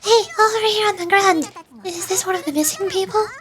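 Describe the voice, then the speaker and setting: Casting: woman playing a tiny excitable fairy girl for a game, high, eager, full of exclamations. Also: a little fast. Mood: concerned, curious. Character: small chirpy, excitable fairy-like girl companion.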